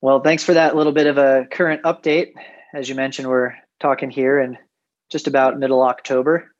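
A man's voice: mid-range voice, flat delivery, fairly quick, no room sound, muffled recording. A man hosting an interview podcast, introducing and questioning his guest. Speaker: podcast host.

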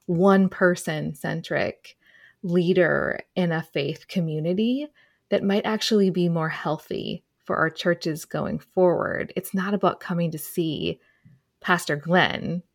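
A woman's voice: fairly low pitch, takes it slowly, creaky. A woman hosting a podcast interview, asking thoughtful questions and affirming her guest often. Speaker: podcast host.

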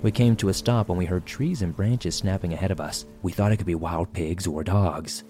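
A man's voice: low voice, normal speed, dark tone. A man reading a listener's horror story as scripted narration, with faint music and rain behind him.

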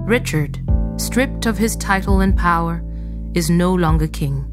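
A woman narrating a radio drama as a calm, solemn storyteller, measured and deliberate in scripted delivery. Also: on the low side, music in the background, lightly acted. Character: calm, solemn female storyteller setting scenes.

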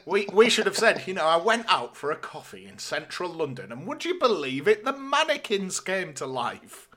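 Bright-voiced man co-hosting a podcast. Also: high-pitched, sing-song, normal speed. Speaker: podcast co-host. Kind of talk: casual chat.

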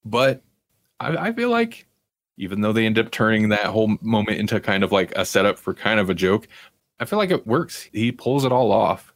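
A man's voice: fairly low pitch, sing-song, normal speed, no echo. A man co-hosting a podcast, chatting casually about pop culture. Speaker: podcast co-host.

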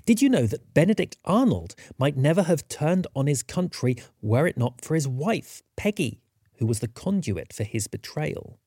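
A man's voice: fairly high pitch, very animated, moderate pace, warm tone, husky, no echo, studio quality. A man reading a scripted podcast promo as an announcer.

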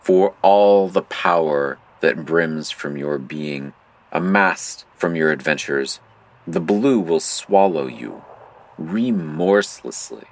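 A man playing a mysterious, impassive game operator who narrates a surreal audio drama in a formal, deadpan manner. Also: low-pitched, slow pace, gravelly, exaggerated voice. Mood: ominous, poetic.

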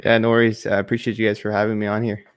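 A man from Iraq who moved to Canada, chatting casually as an interview guest, relaxed and colloquial with many filler phrases. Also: lowish voice, very fast, smooth. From Iraq, moved to Canada in 2003. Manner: relaxed, colloquial, frequent 'like' and 'you know'. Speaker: esports pro, interview guest.

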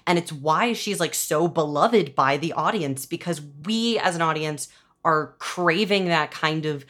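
A woman's voice: deep voice, unhurried, fairly bright tone. A woman talking casually and analytically about storytelling, informal and wry, peppering her speech with "like". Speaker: podcast interviewer and showrunner.

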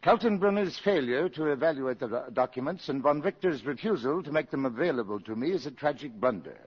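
Embassy clerk, formal recital, medium pitch, dull 1950s radio recording. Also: male voice, lively intonation, brisk, breathy edge. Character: dutiful embassy aide reading intercepted message. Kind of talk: 1950s radio drama acting.

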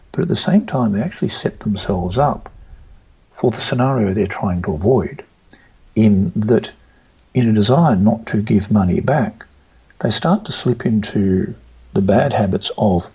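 Gravelly, husky low-voiced man. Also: mellow. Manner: measured, deliberate, small restarts. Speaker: trading mentor. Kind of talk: interview answer, explaining. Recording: dull lo-fi sound.

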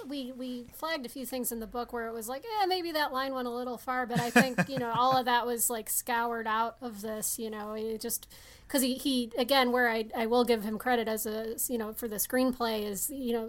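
Lady on a movie podcast, highish voice, casual, emphatic, kinda meandering. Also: steady tone, normal speed. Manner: emphatic, mimics imagined remarks, meandering. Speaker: podcast co-host. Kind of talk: casual film discussion.

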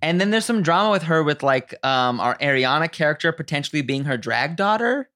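Woman co-host, very low pitch, chatty and meandering, a little tipsy. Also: expressive, a bit bright. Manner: chatty, thinks aloud with restarts, quick bursts. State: tipsy from drinking. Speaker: podcast co-host. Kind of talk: casual chat.

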